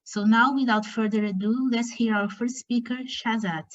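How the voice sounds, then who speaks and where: A woman hosting an online meeting, giving a smooth, organized welcome and introducing the speakers. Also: on the high side, steady tone, normal speed, highs cut off. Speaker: session host. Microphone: video call.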